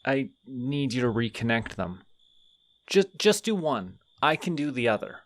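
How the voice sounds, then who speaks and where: Friendly, sheepish male skeleton, voiced by a man, mid-pitched and slow-spoken, audio roleplay. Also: very animated, a bit bright, no echo. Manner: rambling, sheepish, talks to himself. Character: friendly, chatty male skeleton digging up graves.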